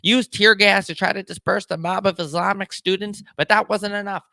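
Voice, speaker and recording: Man acting as TV news reporter, high pitched, forceful, formal, urgent bulletin style, dry sound. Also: fairly even intonation, moderate pace, creaky, dry recording, full character voice. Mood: urgent, grave. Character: urgent male television news reporter announcing a crisis.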